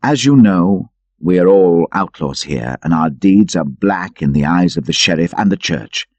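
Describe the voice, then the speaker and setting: A man's voice: low voice, animated, moderate pace, a little mellow, dry recording, lo-fi sound. A man voicing a bold, loyal outlaw leader in an audiobook, proud, hearty and declamatory. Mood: earnest pleading. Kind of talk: dramatic audiobook character voice.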